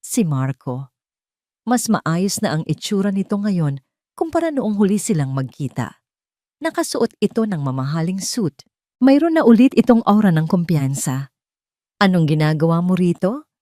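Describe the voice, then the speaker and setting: A woman's voice: medium pitch, very animated, moderate pace, warm tone, dry recording, studio quality. A woman reading a scripted romance story as a dramatic narrator.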